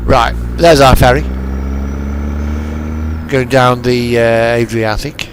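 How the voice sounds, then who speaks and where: A man's voice: mid-range voice, steady tone, slow, background noise. A man chatting casually as he streams a driving game, rambling and trailing off mid-thought. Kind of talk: casual chat while playing a truck driving game.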